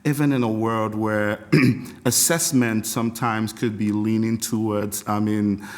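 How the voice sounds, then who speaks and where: A middle-aged man from Nigeria with a Nigerian accent, a music educator chatting as a podcast guest, reflective and animated, laughing often as he tells stories. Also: on the low side, measured pace. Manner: reflective, animated storyteller with frequent laughs and restarts. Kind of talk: casual interview talk.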